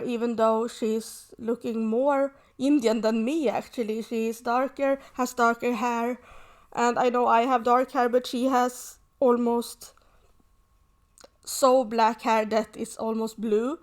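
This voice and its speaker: A woman from Sweden, hosting a podcast and speaking in an earnest, reflective personal monologue with frequent pauses. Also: a little high, darkish tone. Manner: earnest, reflective, pausing often. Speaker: podcast host.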